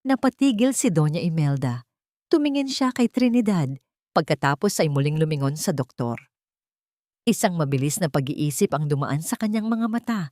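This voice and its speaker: Woman reading a dramatic story, audiobook style, kinda low voice, very dry sound. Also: expressive, normal speed, darkish tone, no echo. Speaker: audiobook narrator.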